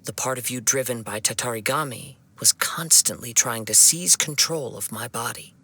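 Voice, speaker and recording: Young male swordsman for a game: bright, slightly gravelly, solemn, measured, compassionate. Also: medium pitch, a little hiss or hum. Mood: solemn, composed. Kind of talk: video game voice acting.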